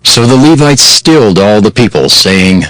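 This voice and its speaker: A man narrating a dramatized scripture reading in a solemn, measured and formal style. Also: lowish voice, animated, fairly bright tone, no room sound, rough recording.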